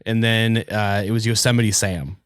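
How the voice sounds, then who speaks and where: A man's voice: fairly low pitch, fairly even intonation, moderate pace, fairly bright tone, clean tone, dry recording, studio quality. A congested man hosting a casual podcast, talking informally and swearing freely. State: congested with a cold. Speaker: podcast host.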